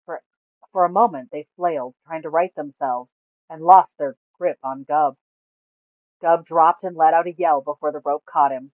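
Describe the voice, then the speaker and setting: A woman's voice: sits low, normal speed, dry recording. Woman narrating fantasy, phone-like sound. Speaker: storyteller. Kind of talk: scripted fantasy story narration.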